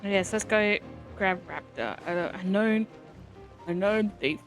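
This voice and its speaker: A woman's voice: mid-range voice, slow. A woman streaming a video game with casual, wry, muttered commentary. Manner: offhand muttering, wry asides. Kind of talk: casual livestream gameplay commentary. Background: game music.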